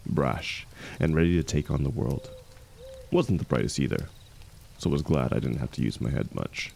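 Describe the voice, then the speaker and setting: A man playing a seasoned ex-mercenary warrior in an intimate fantasy audio drama, gruff but kindly, gently teasing and unhurried in his talk. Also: extremely low pitch, slight background noise, heavily acted. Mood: wistful.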